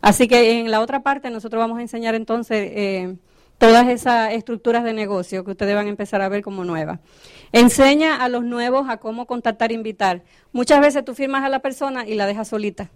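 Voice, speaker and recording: A woman's voice: fairly high pitch, brisk, smooth, no echo. A woman giving a motivational business training talk, often checking in with her audience. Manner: coaching, asks the audience questions.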